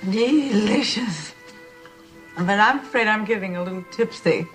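An actress playing a flirtatious but wary small-town widow in an audio drama. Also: female voice, medium pitch, a little slow, faint music behind, natural voice. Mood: tipsy, flirtatious.

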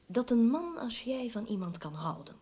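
An actress playing a devoted, teasing female assistant and sweetheart, wry and expressive, in an old radio drama. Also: on the high side, moderate pace, slightly rough. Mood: teasing, reproachful.

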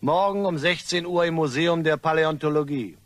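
A man's voice: on the high side, a little fast, a bit bright, no room sound, close to an everyday voice. Actor as a smug, suave male blackmailer. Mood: smug, threatening. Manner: suave, mock-polite, taunting. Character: smug male blackmailer, mockingly courteous and menacing. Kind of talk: German crime radio play acting.

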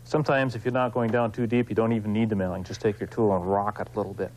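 A man hosting an instructional craft demonstration, explaining informally and in a folksy, unhurried way while he works with his hands. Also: on the low side, flat delivery, darkish tone, slight background noise. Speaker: woodcarving instructor, show host.

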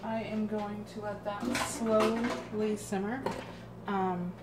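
Female home cooking vlogger, mid-pitched, unhurried and hesitant, casual demo delivery, noisy kitchen recording. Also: little pitch movement.

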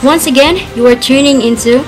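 High-voiced woman news anchor. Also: a little fast, low-quality recording. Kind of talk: scripted radio newscast. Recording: music bed behind voice.

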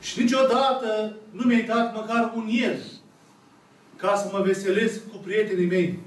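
A man in his thirties, an Orthodox priest with a Romanian accent, preaching slowly and emphatically to a seated congregation with long dramatic pauses, recorded by a camera at the back of a small church. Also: sits high, steady tone. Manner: slow, emphatic, dramatic pauses, voices the characters' words. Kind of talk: sermon retelling a parable. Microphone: camera at the back of the room. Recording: echoey church room, picked up from far off.

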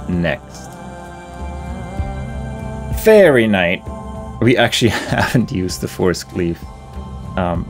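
Male gaming host, low register, understated and musing, casual playthrough commentary with clear music underneath. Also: darkish tone.

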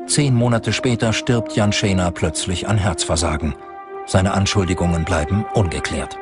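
A man narrates a documentary in a low-pitched voice. He reads from a script, soberly and plainly, while music plays clearly underneath. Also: normal speed.

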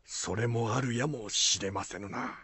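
A man plays a loyal warrior-monk retainer in a samurai radio play. His voice is husky and gravelly, and he speaks slowly in respectful, archaic phrases. The sound is dry and close. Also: mid-range voice, fairly bright tone, no echo. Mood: grave, deferential. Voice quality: gravelly, rough. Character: Benkei, loyal deferential warrior-monk retainer to a young lord. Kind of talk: Japanese radio drama acting.

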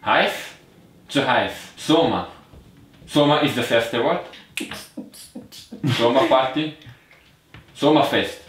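A man's voice: medium pitch, slow pace, long pauses, fairly bright tone. A young man bantering casually and playfully during a party game, recorded by a camera in a small bedroom. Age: young adult. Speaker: player in a family charades game. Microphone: camera a short distance away. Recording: echoey room, faint noise.

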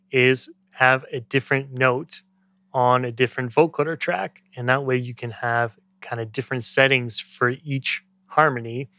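A man in his thirties giving a casual software tutorial as a music production tutor, speaking into a close studio microphone. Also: mid-range voice. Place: small indoor home studio. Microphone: close studio microphone on boom arm.

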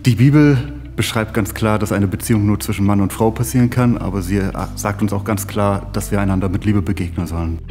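A man in his early thirties talking about himself in an earnest, measured way. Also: lowish voice, fairly even intonation, mellow, soft background music. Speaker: trainee pastor. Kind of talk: personal conversation.

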